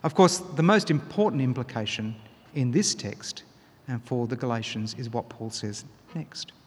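A man preaching a sermon, earnest and emphatic, pressing his key points louder. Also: medium pitch, lively intonation, moderate pace, breathy edge, long reverb tail. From Australia.

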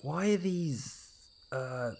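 A man's voice: fairly high pitch, lively intonation, extremely slow, vocal fry, darkish tone, a little hiss or hum, heavily acted. An actor playing a nervous, bewildered man out with his dog, hesitant and stammering, in a comedy-horror audio drama.

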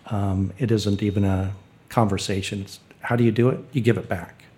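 A man with a low, mellow voice talks off the cuff on a panel. His pitch stays very level, and his phrasing is plain and a little halting. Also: fairly even intonation. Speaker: panelist, state park official. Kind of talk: unscripted panel talk.

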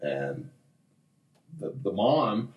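A man's voice: low-pitched, very slow, reverberant, muffled recording. A man co-hosting a podcast in a casual, rambling chat. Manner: lively, rambling, many "like" fillers. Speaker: podcast co-host.

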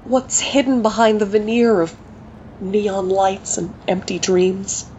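A woman's voice: medium pitch, fairly even intonation, slow pace, warm tone, close to an everyday voice. A woman playing a cynical, profane journalist who rages at the people running her world. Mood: intense. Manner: blunt, profane, scornful. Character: cynical fiery woman journalist, furious at corporate rulers. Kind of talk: audio drama acting. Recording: slightly dull audio with faint noise.

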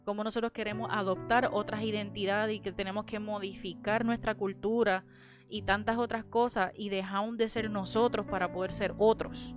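A woman's voice: mid-range voice, fairly even intonation, normal speed, music in the background. A woman with a Puerto Rican Spanish accent, raised in Miami, talking animatedly in a casual church discussion and dropping in English words. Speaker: panelist.

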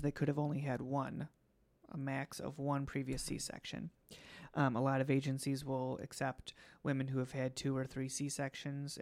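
Man, podcast host, slightly hoarse, monotone, filler-heavy explaining. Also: medium pitch. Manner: many ums, steady explaining. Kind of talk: casual explanatory chat.

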